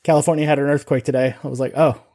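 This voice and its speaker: A man's voice: mid-range voice, fairly even intonation, racing, dark tone. A man in his forties with an American accent, chatting casually and sharing anecdotes. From Nevada, United States.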